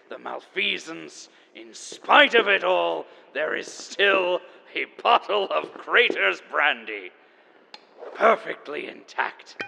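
Gravelly, pompous doomsday chronicler in echo. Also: male voice, on the high side, sharp tone, slight background noise, heavily acted. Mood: excited, triumphant. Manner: pompous, melodramatic, savoring each word. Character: grandiose, theatrical male corporate chronicler enduring after the apocalypse. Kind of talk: dramatic audio drama monologue.

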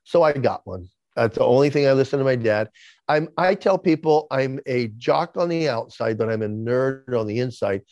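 A man's voice: mid-range voice, animated, normal speed, a little mellow, no echo, muffled recording. Man in his sixties with a lisp. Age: 60s. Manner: repeats words when starting sentences. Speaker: podcast guest. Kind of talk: candid interview storytelling.

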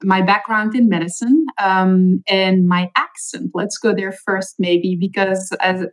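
Belgian woman w flemish accent, middle-aged, dutch native, earnest podcast guest, thinks out loud. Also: mid-range voice, steady tone, measured pace. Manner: earnest, reflective storytelling with fillers. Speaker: chief medical officer, guest. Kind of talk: podcast interview.

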